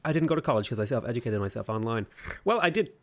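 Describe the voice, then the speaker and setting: A man's voice: mid-range voice, very animated, racing. A man in his twenties on a game livestream, casually chatting and joking while he reads viewer comments aloud. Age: 20s. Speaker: streamer.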